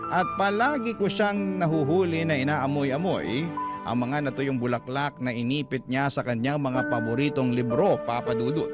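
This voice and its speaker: A man's voice: mid-range voice, normal speed, over loud music, lightly acted. A man playing a reflective older brother, narrating childhood memories wistfully in a Filipino radio drama. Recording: loud musical score under narration.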